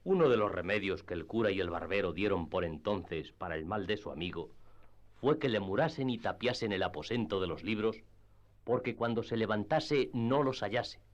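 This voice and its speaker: A man with a fairly low voice narrates a literary radio drama. His is measured, in the manner of a practised storyteller reading a script. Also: expressive, highs cut off.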